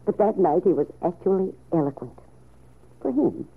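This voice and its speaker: Older aunt, husky, gravelly, wistful, tender, measured, vintage radio soap. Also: female voice, on the low side, big pitch swings, heavily acted. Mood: tender, nostalgic. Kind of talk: old-time radio soap opera acting. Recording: narrow, tinny old radio recording.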